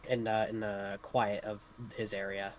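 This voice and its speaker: A man playing a tabletop game, casually describing his character's actions in a halting, tentative way. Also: on the low side, flat delivery, a little hiss or hum. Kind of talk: casual third-person roleplay description.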